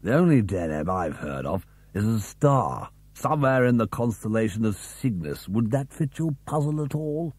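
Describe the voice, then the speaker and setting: A man's voice: on the low side, very animated, normal speed, hardly any pauses, a little mellow, slightly rough, no room sound. A man voicing a nervous, scholarly former Latin teacher with a hesitant, precise way of talking, as in an audiobook. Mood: absorbed, scholarly. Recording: dry cassette tape recording.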